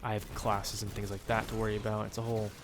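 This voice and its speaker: A man's voice: lowish voice, completely flat delivery, fast talker, noisy background. A young man with a nasal, stuffed-up voice giving rambling, casual commentary while he plays a game at home, full of ums and uhs. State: nasal from a cold. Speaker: gaming YouTuber.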